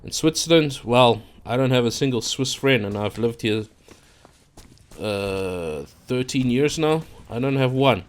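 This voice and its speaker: A man's voice: medium pitch, expressive, unhurried. A man from Africa, talking casually into his own microphone in an unscripted, rambling monologue full of fillers and restarts. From South Africa. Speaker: YouTuber. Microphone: own microphone at a computer.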